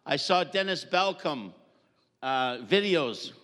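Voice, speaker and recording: A middle-aged Canadian-born man preaching in short phrases, joking with the congregation and pausing for an interpreter. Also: a little high, lively intonation, a bit bright. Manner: jovial, joking, pausing for translation. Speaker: visiting preacher. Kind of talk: sermon with live interpreter. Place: church hall. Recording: church hall reverb.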